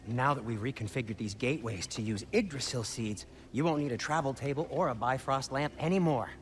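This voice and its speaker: An actor playing a fussy, excitable dwarf craftsman in a video game, wordy, fastidious and precise. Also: mid-range voice, slight background noise, close to an everyday voice. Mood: busy, eager. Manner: fastidious, animated, precise diction. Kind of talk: animated video game acting.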